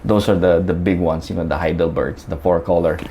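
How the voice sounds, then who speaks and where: A man's voice: low-pitched, fairly even intonation, a little fast, mellow, slight background noise. A man from the Philippines, a guest telling his story in a chatty way, full of fillers and restarts. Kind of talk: casual storytelling interview.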